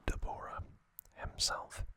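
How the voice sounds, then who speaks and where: A man whispering an ASMR recap as host, soft and unhurried, with frequent hesitant fillers.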